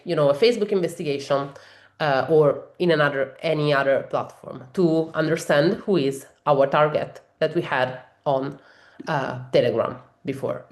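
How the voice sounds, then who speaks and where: A woman with an Italian accent, a native Italian speaker, walking through a software demo in a webinar and explaining each step, with frequent fillers and restarts. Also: deep voice, reverberant. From Italy. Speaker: software trainer.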